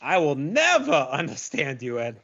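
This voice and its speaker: A man's voice: on the high side, huge pitch swings, a little slow, sharp tone, highs cut off, exaggerated voice. A man playing a young, easily impressed video store clerk and wrestling fan in a comedic audio play. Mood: exasperated.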